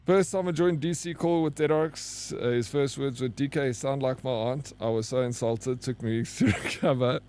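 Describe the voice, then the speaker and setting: A man's voice: mid-range voice, normal speed, few pauses, darkish tone, smooth. A man with a South African accent, giving casual, joking gameplay commentary as a streamer and bantering with his chat. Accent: South African English. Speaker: game streamer.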